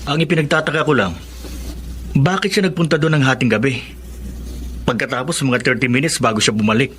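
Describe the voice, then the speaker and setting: A man's voice: mid-range voice, a little fast, fairly bright tone, natural voice. Actor doing curious adventurous young filipino guy in a radio drama, mid voice, slight noise behind. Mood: eager, daring. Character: adventurous, inquisitive young city man, loyal friend.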